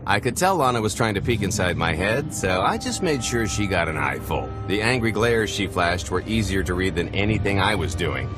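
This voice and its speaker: A man's voice: low voice, sing-song, normal speed, hardly any pauses. An actor playing a cocky, wisecracking male rogue in a video game, glib and sardonic. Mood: smug. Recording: dialogue under background music.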